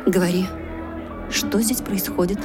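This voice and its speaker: An actress playing a proud, agitated young gentlewoman in a radio drama, imperious and insistent with servants. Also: medium pitch, fairly even intonation, unhurried, loud music behind the voice, close to an everyday voice. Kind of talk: theatrical radio drama acting.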